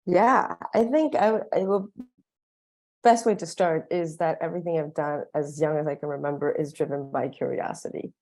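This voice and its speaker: A woman's voice: low voice, fairly even intonation, normal speed, a little mellow. A woman panelist speaking in a reflective, curious way. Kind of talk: panel talk.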